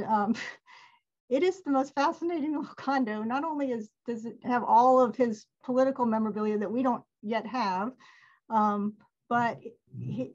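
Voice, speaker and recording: A woman's voice: a little high, a little mellow, muffled recording. A woman giving a casual webinar talk on a video call, chatty and laughing often. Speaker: alumni event presenter.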